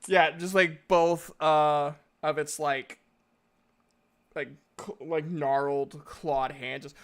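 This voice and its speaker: A man narrating a tabletop game as game master in a halting, informal, slangy way. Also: on the high side, fairly even intonation, clean tone.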